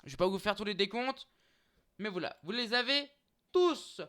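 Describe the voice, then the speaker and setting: A man's voice: high voice, animated, moderate pace, bright, forceful tone, clear, resonant tone. A young man talking casually to his viewers in a vlog, hesitant, full of fillers and restarts, calling them guys. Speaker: YouTuber listing streaming titles. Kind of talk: casual unscripted vlog talk.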